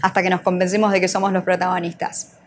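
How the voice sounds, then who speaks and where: Una mujer con acento argentino, entrenadora que da un taller en vivo y le hace preguntas al público. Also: female voice, fairly low pitch, level tone, quick pace, slight background noise. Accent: Argentine Spanish. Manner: questions the audience, uses voseo, teacherly. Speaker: NLP trainer and coach. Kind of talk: live workshop talk.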